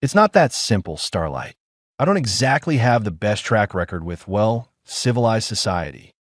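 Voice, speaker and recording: A man voicing a gruff, sarcastic human mercenary pilot. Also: on the low side, sing-song, normal speed, no echo, studio quality. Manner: sarcastic, gritty.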